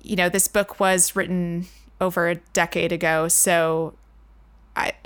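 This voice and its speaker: Bright, low-pitched woman discussing books. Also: level tone, unhurried, clear, resonant tone. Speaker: book discussion podcast co-host. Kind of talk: conversational book discussion.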